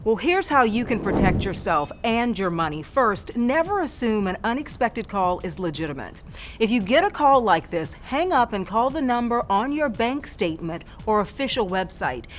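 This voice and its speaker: A woman's voice: a little high, big pitch swings, normal speed, a little hiss or hum. A woman with an American accent presenting broadcast news as an anchor.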